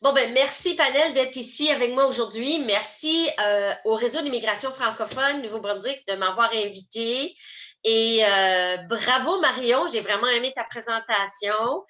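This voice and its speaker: A woman's voice: a little high, normal speed, pushed and bright. A woman moderating an online panel, friendly and calling on each speaker by name. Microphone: video call.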